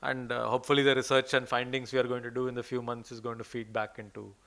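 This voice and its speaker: Gravelly Indian man presenting at a conference. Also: medium pitch, flat delivery, fast talker, a bit bright. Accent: Indian English. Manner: hesitant, many fillers and restarts. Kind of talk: presenting research slides, then answering questions. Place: conference hall.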